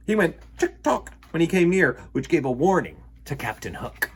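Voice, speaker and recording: A man telling a children's story in an animated, theatrical way, acting out the sound words. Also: fairly high pitch, moderate pace, breathy edge.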